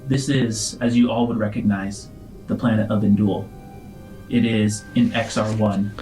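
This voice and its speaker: A man's voice: on the low side, fairly even intonation, measured pace, dark tone, soft background music, poor audio. A man working as game master, improvising narration for a science-fiction tabletop game.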